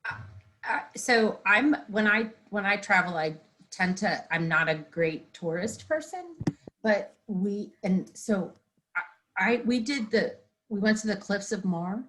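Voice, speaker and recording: A woman of middle pitch talking over a video call. She gushes with enthusiasm, restarts her sentences over and over, and rambles. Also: unhurried, a bit bright, a little hiss or hum. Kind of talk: casual video-call chat.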